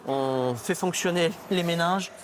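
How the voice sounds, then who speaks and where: A man's voice: fairly high pitch, a little slow. A man hosting an informal, chatty interview, putting questions conversationally. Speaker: television interview host. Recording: clear background noise and ambience.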